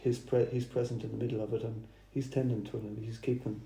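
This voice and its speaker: Guy with a gravelly, really dark voice, talking slowly over dinner, roomy. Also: medium pitch, level tone. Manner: halting, thoughtful, restarts phrases. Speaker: church planter. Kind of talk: casual dinner table chat. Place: dining room. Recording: roomy with faint noise.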